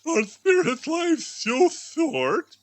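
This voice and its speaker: A man's voice: very high-pitched, wildly swooping pitch, extremely slow, voice pushed up, heavily acted. A man voicing a remorseful old miser who pleads and exclaims plaintively in a storybook reading. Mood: anguished.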